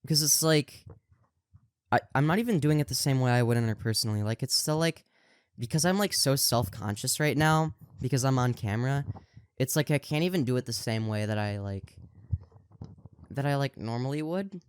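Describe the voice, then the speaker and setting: Smooth-voiced man rambling on a podcast. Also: medium pitch, animated, moderate pace, dry recording. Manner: rambling, many fillers and restarts; projecting voice on camera. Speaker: podcast co-host. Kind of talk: casual chat.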